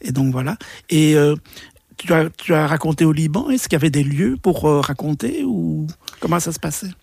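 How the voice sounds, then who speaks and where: A man's voice: on the high side, lively intonation, normal speed, creaky, a little mellow, breathy edge, dry recording, studio quality. A man interviewing a guest in a casual, conversational way, putting thoughtful questions with some hesitation.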